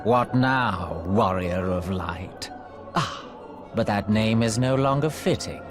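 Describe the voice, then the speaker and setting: An actor playing an arrogant, sinister sorcerer villain in a video game, with pompous, theatrical, archaic diction. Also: male voice, lowish voice, expressive, takes it slowly, music in the background. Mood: mocking. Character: arrogant, sinister male dark sorcerer villain, gloating and condescending.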